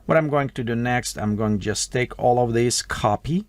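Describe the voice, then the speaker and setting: A man with a Russian accent walks through a software tutorial at his desk, thinking aloud as he types, his English broken and non-native. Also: medium pitch, moderate pace, no echo. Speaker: tutorial host. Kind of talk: screen-recorded software tutorial.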